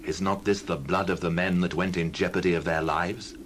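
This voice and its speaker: A low, earnest male voice, an actor as a devout warrior leader in a Bible drama. He speaks solemnly, with faint noise behind him. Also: fairly even intonation, fairly quick, close to an everyday voice. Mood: solemn refusal.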